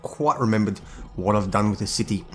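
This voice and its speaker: A hungover, husky-voiced man, rambling casually as he comments on his own gameplay. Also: fairly low pitch, normal speed. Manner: rambling, thinks aloud. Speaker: gaming video host.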